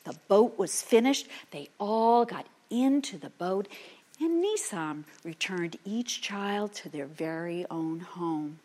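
Female oral storyteller for folktales: lively swooping pitch, slow dramatic pacing with suspenseful hush. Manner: dramatic, drops into hushed whispers for suspense.

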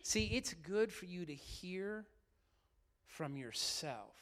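Brief: middle-aged male pastor, high voice, slightly raspy, lively sermon that keeps asking questions. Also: takes it slowly. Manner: lively, asks the room questions often.

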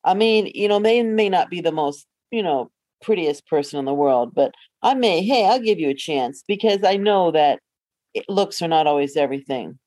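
A woman's voice: lowish voice, lively intonation, moderate pace, warm tone, no room sound. A woman podcast co-host chatting casually in a joking, teasing manner. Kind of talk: casual chat about online dating.

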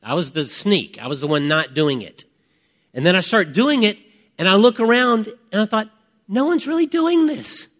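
A man in his fifties preaching a conversational sermon, reflective and emphatic, with deliberate pauses and repeated phrases. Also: high-pitched, expressive, fairly bright tone. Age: 50s. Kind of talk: conversational sermon with personal testimony.

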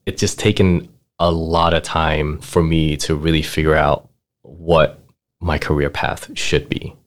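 A man in his thirties from Thailand with a strikingly deep, low voice. He tells his career story in a relaxed, chatty way, laughing often and filling his speech with "like" and "right?". He has the easy, rambling flow of a guest on a podcast. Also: measured pace. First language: Thai. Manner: laid-back, laughs often, lots of "like" and "right?". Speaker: guest, finance manager. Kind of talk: casual interview storytelling.

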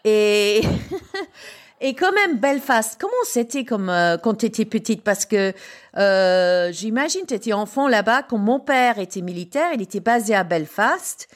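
A woman's voice: mid-range voice, expressive, measured pace. A British woman hosting a podcast interview in French, chatty and upbeat, laughing often. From Britain. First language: English. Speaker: podcast host.

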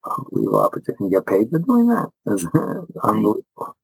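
Male, middle-aged, fairly low pitch, emphatic, halting restarts, conversational teacher, dry recording. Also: huge pitch swings, gravelly, breathy edge, dry recording, poor audio. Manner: enthusiastic, emphatic, repeats phrases. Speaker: rabbi and Torah teacher, interview guest. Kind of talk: conversational interview answers.

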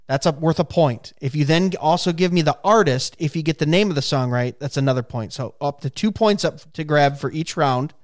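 A man in his late thirties hosting a casual podcast chat and running a music quiz for his guests, animated and quick to laugh when someone scores. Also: a little high. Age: 30s. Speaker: podcast host.

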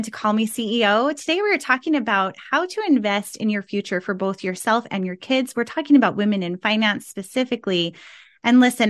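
Podcast host lady, kinda high voice, upbeat and encouraging. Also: a bit bright. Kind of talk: podcast interview hosting.

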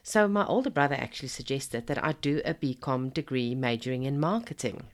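Podcast host, woman in her 50s, low and croaky from a cold, friendly pep-talk delivery. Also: expressive, fairly quick. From Zimbabwe. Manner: chatty and encouraging, addresses the listener as a friend. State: hoarse from a cold. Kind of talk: casual motivational podcast monologue.

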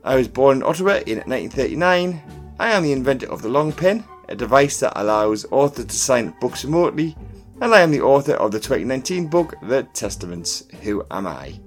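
Animated male quiz host over music. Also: mid-range voice, a little fast. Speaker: pub quiz podcast host. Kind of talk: scripted quiz hosting, reading questions and clues. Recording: music bed under the voice. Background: background music.

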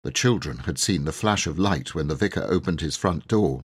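A man reading a storybook aloud as an audiobook narrator, in a measured, dramatic and expressive way. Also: rumbling low, husky. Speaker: audiobook reader.

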